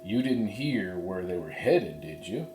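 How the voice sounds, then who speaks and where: A man voicing a tough but kindly storybook cowboy with folksy, drawn-out talk. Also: low-pitched, sing-song, a little mellow, slight background noise. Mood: folksy, commanding. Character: plain-spoken, tough but kindly cowboy horse herder. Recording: roomy, echoey sound.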